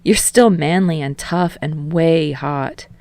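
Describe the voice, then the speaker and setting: A woman's voice: deep voice, takes it slowly, a little mellow, no echo. A woman voicing a cheerful young man who soothes and teases, in a fiction reading. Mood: fond teasing. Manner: soothing, playful. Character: cheerful, teasing, appeasing young man, rock singer.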